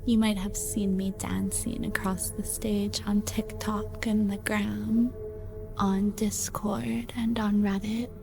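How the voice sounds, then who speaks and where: Very slow, creaky swan ballerina. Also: female voice, mid-range voice, flat delivery. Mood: vain, languid. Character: self-regarding female swan, prima ballerina performer. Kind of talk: radio play acting. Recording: music behind the voice.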